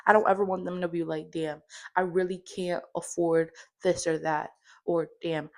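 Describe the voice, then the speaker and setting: A woman in her late twenties talks straight to her viewers in a relaxed personal vlog. Her voice sits a little low, and she rambles openly, leaning on casual fillers as she goes. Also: creaky. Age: 20s. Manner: chatty, candid, lots of fillers like "like" and "you know". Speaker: vlogger.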